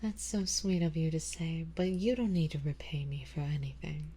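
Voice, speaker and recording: A woman playing an ancient, wealthy female vampire in an ASMR roleplay, speaking softly and intimately in formal, measured words, composed and quietly possessive. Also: deep voice, fairly even intonation. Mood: tender, doting. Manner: slow, soft, intimate, breathy delivery drifting into whispers. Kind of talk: ASMR roleplay audio drama, addressing the listener.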